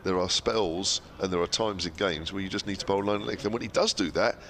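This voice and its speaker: A man's voice: low-pitched, steady tone, fairly quick, a bit bright, slight background noise. A man commentating cricket on the radio with a cold, losing his voice, chatty and full of fillers and tag questions.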